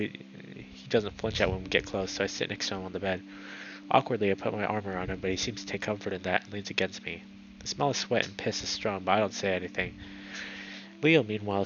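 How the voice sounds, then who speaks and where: A man reading a visual novel aloud in expressive, dramatic narration, now and then stumbling over words. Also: on the low side, muffled recording, slight background noise.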